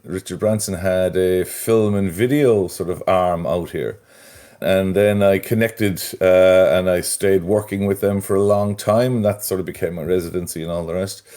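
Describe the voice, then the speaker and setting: A man's voice: lowish voice, warm tone. A middle-aged Irish man talking as a podcast guest, thoughtful and a little rambling. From Ireland. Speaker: guest, mental health strategist. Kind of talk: conversational interview.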